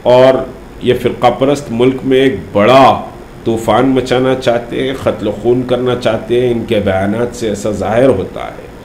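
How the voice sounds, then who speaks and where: A man gives an unscripted political statement to the press, speaking deliberately and haltingly with frequent pauses. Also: mid-range voice, fairly even intonation, darkish tone. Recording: roomy echo, faint background noise.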